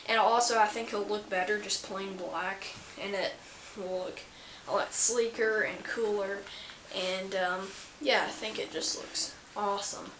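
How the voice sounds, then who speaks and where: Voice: mid-range voice, sharp tone. An enthusiastic vlogger chatting casually while showing off homemade cosplay gear. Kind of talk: casual show-and-tell chat. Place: indoor room, echoey. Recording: echoey room with faint background noise.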